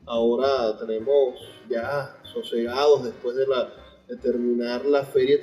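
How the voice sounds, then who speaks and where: A man with a Venezuelan accent hosting a literary radio interview, speaking as presenter and reader. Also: mid-range voice. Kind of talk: literary radio interview, reading poetry aloud at times. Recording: dull lo-fi radio sound, music behind. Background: music.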